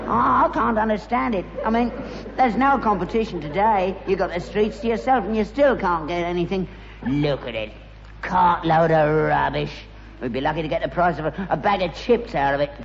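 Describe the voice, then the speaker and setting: A man's voice: sits high, normal speed, gravelly. An actor playing a grumbling, scornful old rag-and-bone father in a British sitcom, carping and whining in a London accent. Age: older. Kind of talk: British sitcom acting before an audience. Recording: muffled, reverberant old sitcom soundtrack, faint noise.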